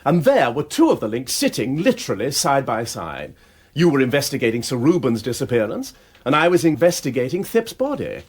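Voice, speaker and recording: A man's voice: mid-range voice, very animated, a little fast, a little mellow, husky. A man playing a nimble-witted aristocratic detective in a British radio drama, with an upper-class English accent and an airy, wordy, self-deprecating manner. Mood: brisk, assured. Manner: airy, wordy, drily teasing.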